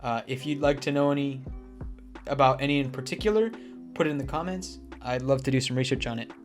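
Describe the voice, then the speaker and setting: A man's voice: medium pitch, brisk. A young man in casual talk to camera, giving earnest advice about teaching jobs in Japan, with restarts and fillers. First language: English. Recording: soft guitar music behind.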